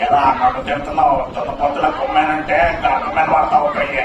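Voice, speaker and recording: A man's voice: on the high side, little pitch movement, fast talker, vocal fry, dull audio, crowd noise. A man playing a sly, carefree devil in a puppet show, talking casually and teasing as he coaxes. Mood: sly, coaxing. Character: sly, carefree male devil luring a schoolboy to hell. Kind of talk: comic puppet show dialogue. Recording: echoey, distant, noisy live show sound.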